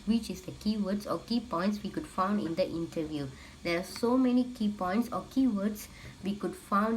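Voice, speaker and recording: A woman's voice: mid-range voice, darkish tone, slight background noise, low-quality recording. A young woman explaining points in a student presentation, with some hesitant restarts. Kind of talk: class presentation.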